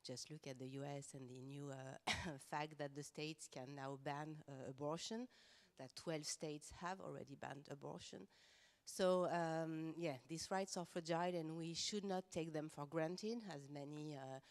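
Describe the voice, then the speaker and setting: A woman's voice: low voice, steady tone, unhurried. A French woman speaking English with a French accent, a professor on a panel who talks earnestly and hesitantly, with frequent fillers. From France. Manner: earnest and passionate, many hesitations. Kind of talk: round-table panel talk. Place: conference room.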